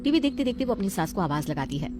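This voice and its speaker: A woman's voice: mid-range voice, sing-song, fast talker, mellow, a little hiss or hum. A woman storyteller reading a scripted moral tale as narrator.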